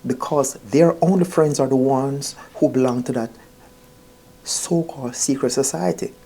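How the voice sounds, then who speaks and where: A man's voice: medium pitch, a little slow, dark tone, slightly rough. A man telling a personal story informally to his viewers, earnest and emphatic, often repeating phrases and addressing listeners directly. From Jamaica. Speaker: vlogger.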